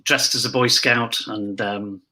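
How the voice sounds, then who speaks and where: Bright-voiced man telling casual anecdotes. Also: fairly low pitch, steady tone, measured pace. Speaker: pantomime dame performer, interview guest.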